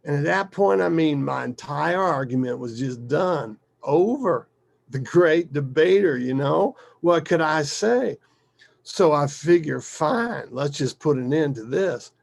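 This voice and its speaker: A man voicing a rough, animated father who reminisces fondly in an emphatic, colloquial way, within a dramatic story. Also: on the high side, measured pace, voice pushed up, full character voice. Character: rough, foul-mouthed, animated father reminiscing fondly about his daughter.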